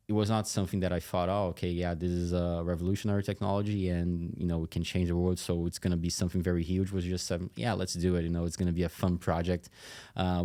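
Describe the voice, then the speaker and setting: A man in his thirties giving casual interview answers as a tech executive, halting and thinking aloud as he goes. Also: low-pitched, dark tone. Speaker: tech company chief executive and co-founder, podcast guest.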